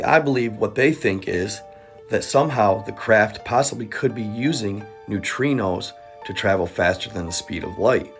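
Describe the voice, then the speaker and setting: A man presenting an explainer as a content creator, with a conspiratorial storytelling manner and rhetorical questions. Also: mid-range voice. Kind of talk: explainer narration to camera. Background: background music.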